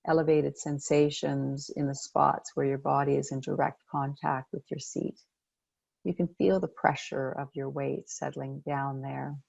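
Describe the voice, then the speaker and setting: A woman leading a guided meditation as a coach, speaking gently and unhurriedly with pauses between phrases. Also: deep voice, creaky, dry recording, lo-fi sound.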